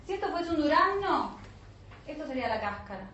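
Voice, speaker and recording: A woman teaching a class in an explanatory lecture style, often pausing with short check-in questions. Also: high-pitched, sing-song, fairly bright tone. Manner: didactic, frequent check-in questions. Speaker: teacher of an online spiritual alchemy course. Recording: roomy echo, dull lo-fi sound, faint noise.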